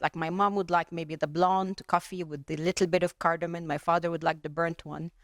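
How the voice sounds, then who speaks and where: Audience member, woman from Lebanon, lowish and close-miked, chatty and listing examples. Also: dry recording. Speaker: workshop participant. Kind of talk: audience comment at a workshop.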